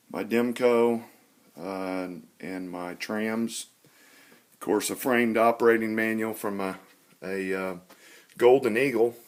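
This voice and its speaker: A man narrating an informal show-and-tell tour as a hobbyist collector, in a halting, matter-of-fact way. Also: lowish voice.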